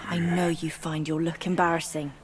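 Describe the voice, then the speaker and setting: A woman voicing a scornful, sharp-tongued young spider demon in a game cutscene, cold and sarcastic. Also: low-pitched, level tone, normal speed, slight background noise, natural voice. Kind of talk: video game cutscene voice acting.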